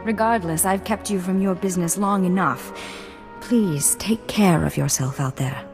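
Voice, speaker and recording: A woman's voice: on the low side, moderate pace, music in the background, natural voice. An actress playing a proper young noblewoman in a video game, speaking in a formal, refined manner. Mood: poised. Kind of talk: video game cutscene acting.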